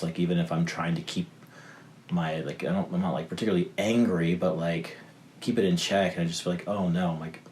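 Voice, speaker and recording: A man's voice: low-pitched, darkish tone, a little hiss or hum. A man in his thirties, a father talking about his family as a podcast guest, rambling with many fillers and restarts. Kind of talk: casual podcast conversation.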